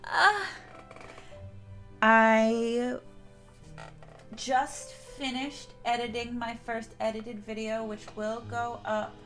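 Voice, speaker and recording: A woman's voice: fairly high pitch, steady tone, drawn-out words, pauses often, a bit bright, faint music behind. A woman streamer chatting casually to her viewers, rambling and often restarting her sentences. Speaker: game streamer.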